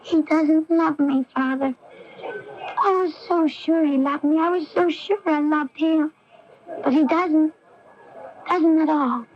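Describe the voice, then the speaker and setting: An intense, vulnerable young woman, fairly high-pitched and unhurried, earnest and lovelorn. It is 1980s radio drama on a dull, lo-fi broadcast recording with some music behind. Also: steady tone, slight background noise. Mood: distraught. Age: young adult. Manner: slow, pleading, earnest.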